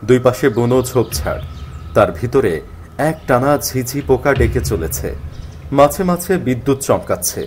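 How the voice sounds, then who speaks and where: A man's voice: medium pitch, animated, moderate pace, darkish tone, slight background noise. A man narrating a scripted mystery story in the first person.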